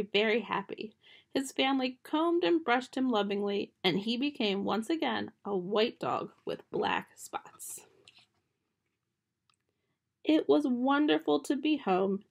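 Gentle young woman reading a picture book. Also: creaky. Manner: gentle storytime reading, high voices for the children's lines. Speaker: children's book reader. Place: small bedroom at home, indoors. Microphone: webcam close up.

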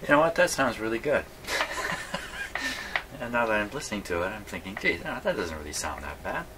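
A man's voice: on the low side, huge pitch swings, moderate pace. A man thinking aloud casually as he narrates radio repair work in his workshop, musing and wryly self-deprecating. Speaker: radio repair hobbyist, host. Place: indoor electronics repair workshop. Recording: clear background noise in workshop.

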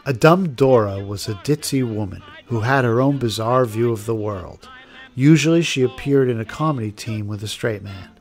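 Male documentary narrator, scripted read, average pitch. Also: normal speed, soft background music.

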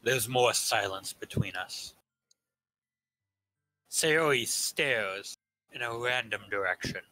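A man's voice: fairly low pitch, animated, slow pace, sharp tone, a little hiss or hum, poor audio. A man narrating a visual novel in a staged reading, with casual asides.

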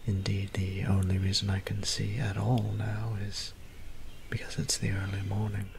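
A man voicing a gentle, shy, weary young vampire priest in a soft-spoken ASMR roleplay, breathy and whispered, with polite, formal, hesitant phrasing. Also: fairly low pitch, monotone, moderate pace, slight background noise. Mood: hesitant, explaining.

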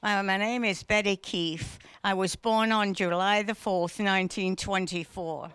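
A woman's voice: medium pitch, fairly even intonation, measured pace, a bit bright, clear, resonant tone, no room sound. A woman, speaking plainly into a handheld mic. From England. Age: 90s.

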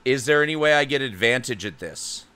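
A man's voice: medium pitch, animated, fairly quick, bright, forceful tone, clean tone. A man playing a tabletop game, hesitant with lots of fillers and restarts. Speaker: player. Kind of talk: tabletop game play, in and out of character.

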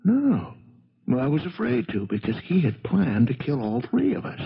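An earnest, weary in a seventies radio play. He talks hesitantly and with measured care, in a husky voice. The recording is dull and old. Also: on the high side, huge pitch swings, heavily acted. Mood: shaken, weary. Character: earnest, weary man recounting a disaster. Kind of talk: 1970s American radio drama acting.

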